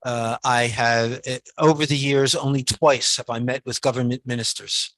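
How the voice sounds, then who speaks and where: Soft-spoken halting man, dry mic. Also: medium pitch, fairly even intonation, dry recording. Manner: halting, soft-spoken, many pauses and restarts. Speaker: guest lecturer. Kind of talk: answering audience questions in a lecture.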